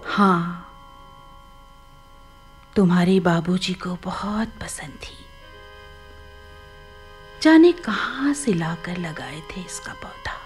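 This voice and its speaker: A woman's voice: fairly low pitch, expressive, drawn-out words, pauses often, soft background music. An actress playing a wistful, grieving elderly widowed mother in a radio play. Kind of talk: Hindi radio play acting.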